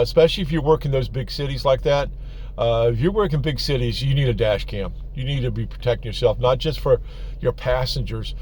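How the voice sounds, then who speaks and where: A man's voice: a little high, darkish tone, slight background noise. A man hosting his own channel, giving casual commentary with a loose, filler-heavy way of talking. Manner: conversational, loose, many fillers. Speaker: channel host, rideshare driver.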